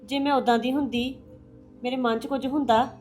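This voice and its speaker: Hurt daughter-in-law played by an actress, high-pitched, pleading tone. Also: female voice, brisk, a bit bright, faint music behind. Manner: pleading, defensive, tearful. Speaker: daughter-in-law in a family drama. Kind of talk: domestic drama acting.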